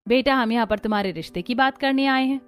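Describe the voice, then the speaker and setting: A woman's voice: a little high, fast, lightly acted. A woman playing a kindly middle-aged mother in a moral story, reassuring and persuasive. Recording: music under the voice.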